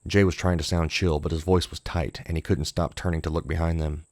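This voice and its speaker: A man's voice: rumbling low, flat delivery, brisk, darkish tone, no room sound, studio quality. A man reading scripted horror fiction as an audiobook narrator.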